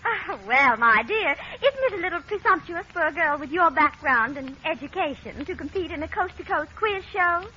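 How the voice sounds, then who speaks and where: A woman's voice: extremely high pitch, animated, normal speed, few pauses, bright, forceful tone, exaggerated voice. An actress playing a snooty society woman in 1940s radio comedy, pompous, affected and gushingly condescending. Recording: dull old radio recording, audience noise.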